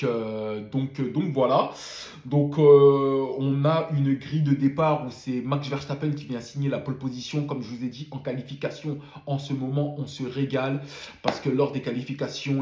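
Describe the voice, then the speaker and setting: A man's voice: mid-range voice, dark tone, roomy sound. A man who has a cold gives a casual spoken debrief of a motor race, full of fillers and repeated words. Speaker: F1 commentator.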